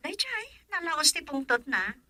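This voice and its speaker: A woman's voice: high voice, big pitch swings, measured pace, sharp tone, dry recording, clean studio sound, heavily acted. A woman playing a young, defiant daughter in love, with emotional, pleading radio drama delivery and an Ilocano accent. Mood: tender, troubled.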